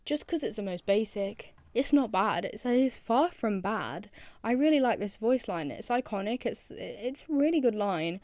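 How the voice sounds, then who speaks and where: A woman's voice: medium pitch, animated, moderate pace, darkish tone, dry recording. A woman presenting casual fan commentary in an enthusiastic way, repeating phrases for emphasis. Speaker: video presenter.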